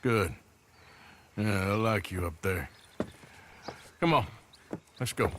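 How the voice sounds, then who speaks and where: An actor playing a wry, protective American outlaw with a folksy drawl in a video game. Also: male voice, on the low side, slow pace, noisy background. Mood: calm, businesslike. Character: gruff, wry American outlaw gunslinger, protective middle-aged man. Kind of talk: video game cutscene acting.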